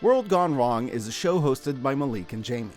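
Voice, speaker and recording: A man narrating a scripted review video as its host, in a casual, wry and expressive way. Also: medium pitch, normal speed. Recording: background music under the voice. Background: background music.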